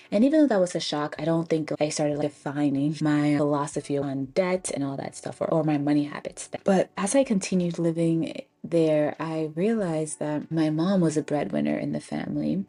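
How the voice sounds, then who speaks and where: A young American woman telling personal stories in a casual, reflective, conversational way, pausing for emphasis. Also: sits low, mellow. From Ghana and the Bronx, US. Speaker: personal finance storyteller.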